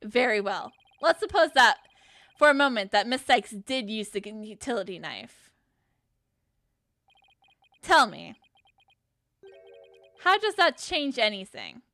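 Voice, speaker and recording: A woman's voice: a little high, big pitch swings, slow pace, long pauses, pushed and bright, dry recording, heavily acted. A woman reading as a haughty prosecutor. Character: cool, haughty male prosecutor in a courtroom game. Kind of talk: reading game dialogue aloud.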